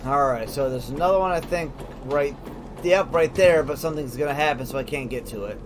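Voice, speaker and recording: A man's voice: on the high side, a little fast, noisy background. A man giving casual let's play commentary, thinking aloud in short remarks. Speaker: let's player. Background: music and game sounds.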